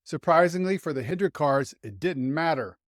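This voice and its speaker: A man with a fairly high voice delivers scripted motorsports commentary. He is opinionated and a little wry as host, and the recording is very dry with no room sound. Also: expressive, normal speed, dry recording.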